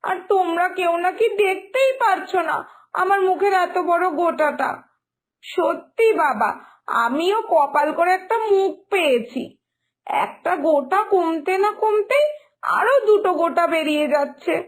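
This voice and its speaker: Woman playing a whiny, very high-pitched young wife. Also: lively intonation, unhurried. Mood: sulky, whining. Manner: complaining, pouting, dramatic. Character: whiny, sulky young sister-in-law fretting over her looks. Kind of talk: Bengali animated moral story dialogue. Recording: music behind the voice.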